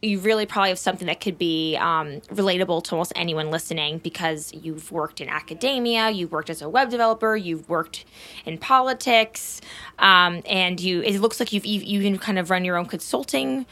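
A woman's voice: on the low side, fairly quick, sharp tone. A woman hosting a podcast, reading her intro and ads, then chatting with a guest enthusiastically. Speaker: podcast host. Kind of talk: casual interview and scripted intro.

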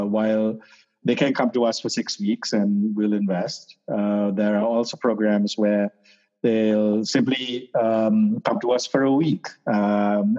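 Hesitant low-voiced man, muffled. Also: warm tone. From Sri Lanka. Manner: hesitant, many fillers and restarts. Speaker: startup accelerator founder, guest. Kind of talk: casual interview answers. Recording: dull lo-fi sound.

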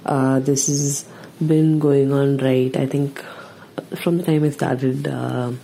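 A woman's voice: rumbling low, fairly even intonation, takes it slowly, gravelly, dark tone, a little hiss or hum, low-quality recording. A woman from India whose first language is Tamil, speaking in an earnest, hesitant way with many fillers. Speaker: podcast guest. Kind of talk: casual recorded message.

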